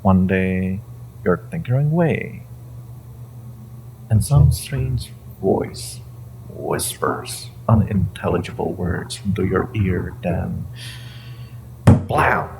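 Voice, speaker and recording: Deep gravelly male monster interrogator, acted by a man, taunting, menacing, theatrical pauses, audio drama, slightly noisy recording. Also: darkish tone, exaggerated voice. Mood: mocking, probing. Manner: theatrical, taunting, drawn-out phrasing with pauses and whispers. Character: sinister inhuman male interrogator, mind-reading monster, mocking and menacing. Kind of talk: dramatic audio roleplay monologue to the listener.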